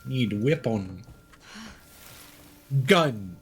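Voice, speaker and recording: A man's voice: medium pitch, animated, very slow. A man doing live gameplay commentary as a streamer, in a wry, sardonic manner. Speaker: game streamer. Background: video game sound.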